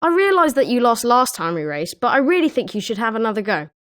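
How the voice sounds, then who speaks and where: A woman's voice: a little high, big pitch swings, fast, fairly bright tone. A woman with a British accent voicing an eager, sporting schoolboy wizard with chirpy, emphatic delivery in a video game. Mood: encouraging. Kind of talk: scripted video game character lines.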